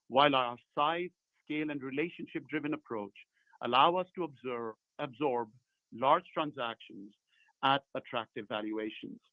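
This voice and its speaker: Executive on a thin phone line. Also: male voice, on the high side, dry recording. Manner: measured, phrase-by-phrase reading. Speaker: company executive on an earnings call. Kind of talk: formal scripted corporate presentation. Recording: muffled narrow phone conference line.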